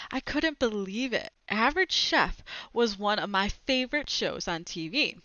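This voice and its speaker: Animated young woman reading a children's book aloud. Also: mid-range voice, no room sound, muffled recording. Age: young adult. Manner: animated, clearly phrased storytelling. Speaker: read-aloud storyteller. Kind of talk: expressive children's book read-aloud with brief asides. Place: small home room with bookshelves, indoors. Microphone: headset microphone.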